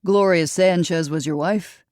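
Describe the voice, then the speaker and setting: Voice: medium pitch, measured pace, darkish tone, no echo, studio quality, close to an everyday voice. An audiobook narrator voicing a tall male detective who questions people in a level, friendly way with blunt edges. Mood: probing. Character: tall male police detective, calm and probing.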